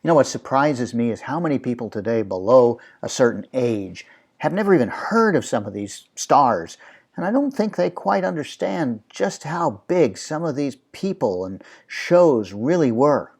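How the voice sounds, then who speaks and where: Husky, very lively male interviewer. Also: medium pitch, moderate pace. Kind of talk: conversational interview hosting.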